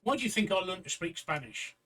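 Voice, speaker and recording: A man's voice: high voice, very animated, fast, sharp tone. A man in his sixties chatting casually as co-host of a livestream talk show, rambling and joking, repeating himself often. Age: 60s. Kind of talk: casual chat. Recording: voice somewhat far from the mic.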